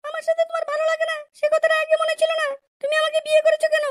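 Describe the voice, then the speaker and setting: A woman voicing an aggrieved young village wife in an amateur comedy cartoon, squeaky and scolding in an indignant, complaining way. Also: monotone, racing. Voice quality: squeaky, artificially high-pitched.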